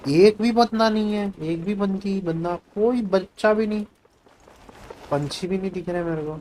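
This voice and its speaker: Rapid-talking Indian man streaming a game. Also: high voice, a little mellow, slight background noise, poor audio. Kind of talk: casual gameplay commentary. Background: faint game music.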